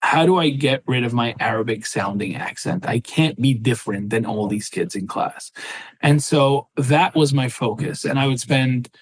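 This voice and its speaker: A man's voice: mid-range voice, moderate pace, breathy edge, dry recording, low-quality recording. Passionate man in his thirties, dry close mic. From Syria. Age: 30s. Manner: earnest, passionate, with frequent "right?" asides. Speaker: guest. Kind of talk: personal storytelling in an interview.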